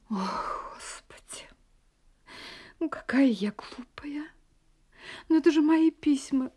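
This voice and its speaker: An anxious whispering young wife. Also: female voice, a little high, warm tone. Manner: agitated, often whispering to herself. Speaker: heroine and narrator of a radio play. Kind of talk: radio play acting.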